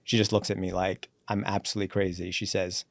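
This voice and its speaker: A man in his forties with a fairly low voice tells his career story as a podcast guest. He moves briskly through the story and acts out the lines he quotes. The recording is close and dry. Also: little pitch movement, gravelly, no room sound. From born in Sri Lanka, raised in Canada. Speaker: guest, company CEO. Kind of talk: relaxed podcast interview, storytelling.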